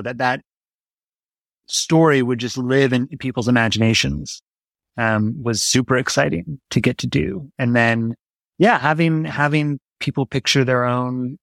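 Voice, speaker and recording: A man's voice: medium pitch, fairly bright tone, no echo. A man talking as a guest in a casual interview, reflective and enthusiastic about his work. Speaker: guest, audio drama writer.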